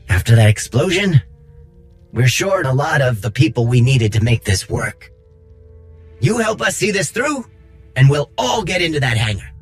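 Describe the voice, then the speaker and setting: A man playing an earnest, quick-thinking rebel worker in a video game, talking informally and persuasively. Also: mid-range voice, husky. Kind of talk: video game cutscene acting.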